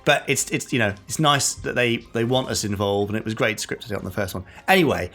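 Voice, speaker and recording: A man with a fairly low voice co-hosts a podcast in a casual, conversational way. Faint music plays behind his talk. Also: a little fast, fairly bright tone. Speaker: podcast co-host. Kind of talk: casual podcast chat and news.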